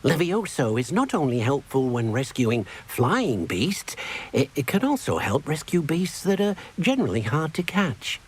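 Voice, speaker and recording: Husky, earnest little house-elf. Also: male voice, a little high, sing-song, normal speed. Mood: earnest, helpful. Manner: speaks of himself in the third person, earnest and careful. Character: small, gentle male house-elf, timid, earnest, devoted to beasts. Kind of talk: video game character dialogue.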